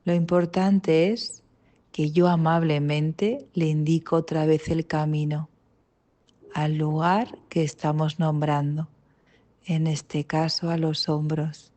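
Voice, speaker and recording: A woman with an accent from Spain leading a guided meditation, calm and gentle, with long pauses between short instructions. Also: low voice. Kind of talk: guided mindfulness body scan meditation.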